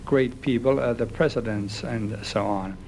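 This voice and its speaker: Halting folksy man, faint background noise. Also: medium pitch, a little mellow, low-quality recording. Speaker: interview guest, entertainer. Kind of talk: casual interview talk.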